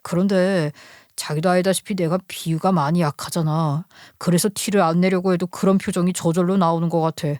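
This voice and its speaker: A woman's voice: fairly low pitch, fairly even intonation, brisk, a little mellow, no room sound, natural voice. Woman voicing an uneasy, defensive husband, dry sound. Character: uneasy, defensive squeamish husband and young father.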